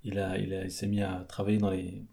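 A man's voice: low voice, monotone, fast talker, gravelly, warm tone. A young man from France, chatting in an interview, hesitant with many fillers and restarts. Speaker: guest, theatre performer. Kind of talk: casual interview chat.

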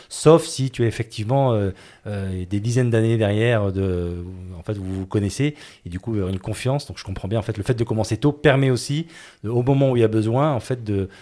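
A man's voice: lowish voice, mellow. A man hosting a podcast, asking a guest questions in a hesitant way and often searching for words. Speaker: podcast host.

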